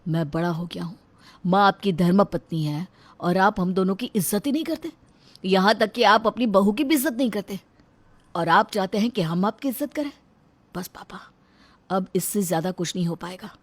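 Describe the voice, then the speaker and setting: A woman's voice: mid-range voice, moderate pace, no room sound, natural voice. A woman voicing an earnest, respectful grown son in a moral story. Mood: firm, upset. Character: meek, respectful grown son finding his courage. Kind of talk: acted dialogue in a moral story.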